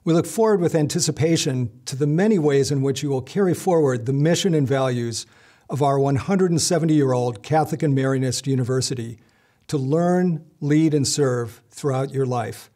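Middle-aged man, mellow formal address. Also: mid-range voice. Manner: measured, solemn delivery. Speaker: university leader giving commencement address. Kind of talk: formal scripted speech. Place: indoor stage with curtain backdrop.

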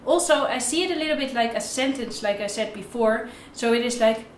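A woman's voice: a little high, a little fast, far from the mic, a little hiss or hum. A young woman giving an instructional tutorial to camera as a music teacher, recorded indoors in a bare room. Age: young adult. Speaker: violin teacher. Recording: roomy, echoey indoor sound.

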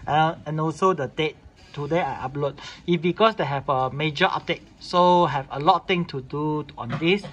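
Higher-pitched man, noisy tutorial recording. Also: fairly bright tone. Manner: repetitive clipped phrasing in non-standard English. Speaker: tutorial host showing a device setup. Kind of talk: informal unscripted step-by-step product demonstration. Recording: clearly noisy room recording.

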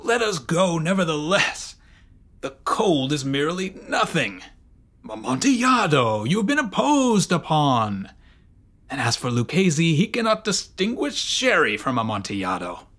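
A man voicing a drunken, boastful Italian wine connoisseur, excitable and scornful, exclaiming loudly in an audiobook horror story. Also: fairly high pitch, unhurried, pitched above the performer's own voice, full character voice. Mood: scornful, insistent.

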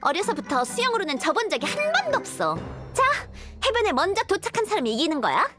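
Proud high-voiced young girl mage. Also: wildly swooping pitch, quick pace, music in the background, heavily acted. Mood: indignant, competitive. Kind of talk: anime game voice acting.

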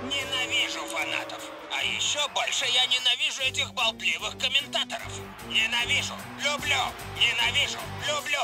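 A man's voice: very high voice, normal speed. A man voicing a vain, hot-tempered, peevish and boastful footballer in a cartoon. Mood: petulant. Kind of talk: animated cartoon dubbing. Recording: music playing behind the voice.

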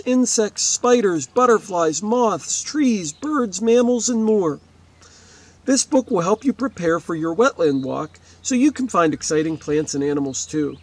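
A man in his forties with a high-pitched voice teaches young children by reading aloud. His delivery is expressive and explanatory, as a schoolteacher's would be. He speaks outdoors, a few steps from the camera. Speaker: fourth-grade teacher. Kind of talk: read-aloud lesson for children, with asides. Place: outdoors beside a wetland lake.

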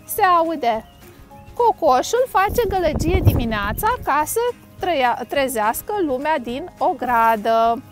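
A middle-aged woman hosts an outdoor storytime. Her voice sits high and swings widely in pitch as she reads simply and playfully for small children, with some music in the recording. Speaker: storytime host. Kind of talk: animated children's picture-book read-aloud. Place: outdoors on a grassy lakeside bank.

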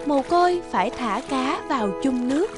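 A woman's voice: high-pitched, lively intonation, moderate pace, over music. A woman reading scripted narration for a children's fairy tale.

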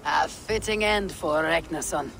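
An actor playing a stoic, guarded young Viking warrior in a video game, with a terse, wry, deadpan delivery. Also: male voice, a little high, unhurried, creaky, slight background noise. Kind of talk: dramatic video game acting.

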